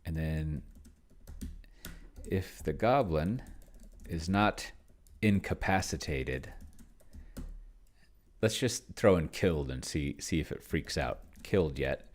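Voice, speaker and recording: A man giving casual think-aloud commentary on a solo tabletop game, halting and muttering to himself in a gravelly voice. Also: very deep voice, animated. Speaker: solo tabletop gamer, video host.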